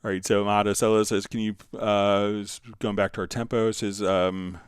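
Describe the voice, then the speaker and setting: A man hosting a live stream, casually explaining software step by step while answering viewer questions. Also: fairly low pitch, flat delivery, moderate pace, clear, resonant tone, no echo, studio quality.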